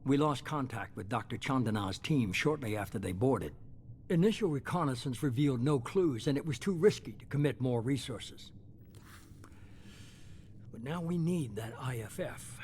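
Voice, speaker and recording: Measured, calculating boss played by a man, noisy ambience. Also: mid-range voice, close to an everyday voice. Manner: measured, authoritative, unapologetic. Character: shadowy calculating male leader, middle-aged, coolly self-justifying. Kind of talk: dramatic video game dialogue.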